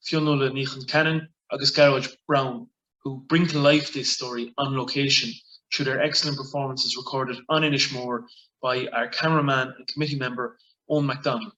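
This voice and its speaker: A man's voice: mid-range voice, bright, forceful tone, dull audio. A man with an Irish accent giving a formal scripted introduction as an event presenter. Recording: echoey room sound.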